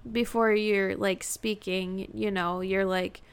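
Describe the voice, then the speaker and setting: A woman's voice: mid-range voice, level tone, slow, smooth. Young woman on a podcast, takes her time, lots of laughing, loses her train of thought. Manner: laughs often, trails off mid-thought. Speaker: podcast co-host. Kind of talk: casual chat.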